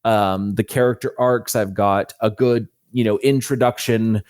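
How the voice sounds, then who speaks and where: A man's voice: on the low side, fairly even intonation, unhurried, a little mellow, no room sound, clean studio sound. A man speaking conversationally as an interview guest, an author explaining how he works. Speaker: fantasy author, podcast guest.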